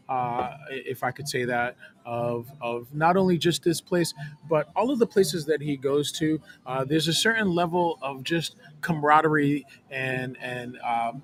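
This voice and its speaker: A man who works in hospitality, a podcast guest, telling stories in a measured, filler-heavy way, recorded outdoors in a back alley at night. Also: fairly high pitch. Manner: warm storytelling, measured, many fillers. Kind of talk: casual chat.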